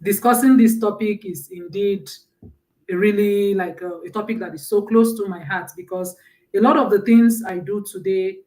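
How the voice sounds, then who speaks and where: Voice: medium pitch, steady tone, moderate pace, dark tone, far from the mic, low-quality recording. Storytelling speaker with a Nigerian accent. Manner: conversational, often asks "right?" and retells stories from memory. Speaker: guest speaker on mentorship. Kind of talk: personal storytelling talk.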